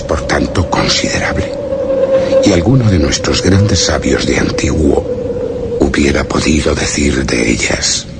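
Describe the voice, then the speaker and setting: A man playing a grave expedition leader, narrating a radio drama in a solemn, formal, measured literary manner. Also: very deep voice, creaky, heavy background noise, exaggerated voice. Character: grave male expedition leader recounting a mysterious journey.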